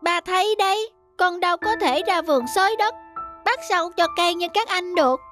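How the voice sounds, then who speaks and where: A gentle young schoolboy from a children's cartoon, speaks in a very high voice. He is plaintive and self-pitying, childlike in delivery, with music behind him. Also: brisk.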